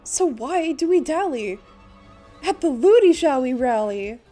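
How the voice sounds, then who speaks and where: Woman as a playful, mellow-voiced boy bard. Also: sits high, animated, a little slow, faint music behind. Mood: excited, lyrical. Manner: theatrical, lilting rhymed speech. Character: cheeky young male traveling bard, playful and rhyming. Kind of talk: manga dub acting.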